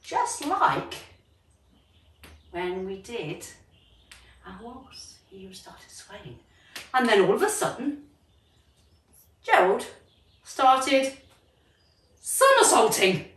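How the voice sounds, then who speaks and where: High, animated woman teaching children. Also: drawn-out words, pauses often. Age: middle-aged. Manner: encouraging, exclamatory, addresses young children directly. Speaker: children's drama teacher and storyteller. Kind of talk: animated children's drama class, story time with actions. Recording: roomy echo, distant microphone, music.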